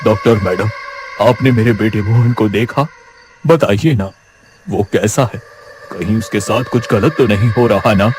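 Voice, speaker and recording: A man's voice: on the low side, unhurried, darkish tone, soft background music, close to an everyday voice. A man voicing a desperate father who is fearful and pleading for his son, in a deferential manner. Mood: anxious.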